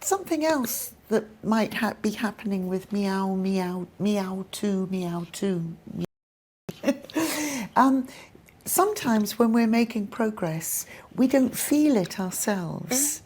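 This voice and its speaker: A woman's voice: medium pitch, lively intonation, slow, darkish tone, a little hiss or hum. A woman co-hosting a live stream, chatty and upbeat as she passes on viewer comments. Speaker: co-host. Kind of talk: live-stream chat with guests.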